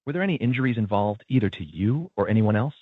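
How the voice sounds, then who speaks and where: Swooping, husky male automated phone agent. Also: lowish voice, animated, fast talker, dry recording. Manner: polite, procedural. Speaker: automated claim specialist agent. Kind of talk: scripted customer service dialogue. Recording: narrow tinny phone-like sound.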